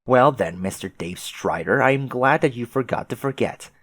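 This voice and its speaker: A man voicing a pushy, excitable female alien troll who taunts in wordy, emphatic phrases for a comic dub. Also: mid-range voice, moderate pace, no echo, natural voice. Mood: eager, insistent. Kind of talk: fan comic dub dialogue.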